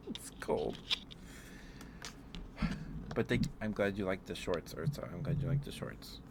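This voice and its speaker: A man's voice: low voice, big pitch swings, unhurried. A man doing a live ASMR stream, chatting to viewers in an affectionate, apologetic, rambling way. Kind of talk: ASMR livestream chat with viewers. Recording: clear background noise, keyboard typing.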